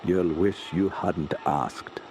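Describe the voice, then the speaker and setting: Slow, haunted sailor. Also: male voice, sits low, a little mellow, soft background music. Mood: grim, weary. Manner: halting, terse broken English. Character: haunted, weakened Scandinavian sailor survivor. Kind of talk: radio drama acting. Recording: noisy ambient sound effects bed.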